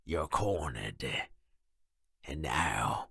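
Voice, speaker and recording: A man playing a menacing thug on the hunt, with a gravelly growl and a taunting drawl. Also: very deep voice, expressive, a bit bright, no echo, studio quality, full character voice. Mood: predatory menace. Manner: slow, taunting. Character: menacing, predatory male thug hunting prey. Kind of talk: audio drama villain.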